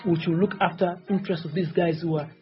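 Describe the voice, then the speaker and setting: A man's voice: high voice, fairly even intonation, fast, dull audio, soft background music. A man in his forties, originally from Tanzania, answering interview questions as a presidential candidate in a rambling, insistent way and often repeating himself. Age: 40s.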